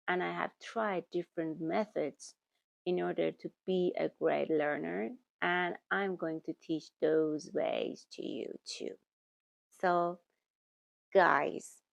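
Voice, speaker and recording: A woman speaks to her viewers in a slow, deliberate way, often repeating words and correcting herself. Her voice sits fairly low and has a gravelly texture. The recording is close and dry. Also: dry recording. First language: German. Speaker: language-learning YouTuber. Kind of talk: talking to camera, giving tips.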